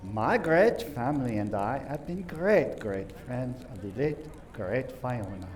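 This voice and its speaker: A self-important Frenchman, played by a man in a radio drama, savors his own words at a very leisurely pace. His voice sits at a middling pitch but swings grandly up and down, with theatrical repeated emphasis, over faint noise. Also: expressive. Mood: boastful, grandiose. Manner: theatrical, drawn-out, repetitive emphasis. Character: pompous, self-important Frenchman boasting of his famous ancestry. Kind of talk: community theater radio play acting.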